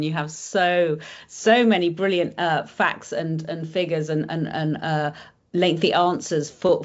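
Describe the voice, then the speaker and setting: A woman's voice: sits low, dull audio. A woman hosting an interview, thanking and praising her guest and posing questions, repeating words as she goes. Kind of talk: interview host.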